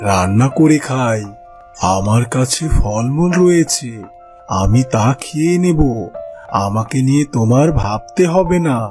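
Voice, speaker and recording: A man's voice: mid-range voice, lively intonation, unhurried, a little mellow, lightly acted. Man playing a kind cartoon holy man, music behind. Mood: reassuring. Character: kind, wise wandering holy man, gentle and reassuring. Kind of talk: cartoon fairy-tale character dialogue.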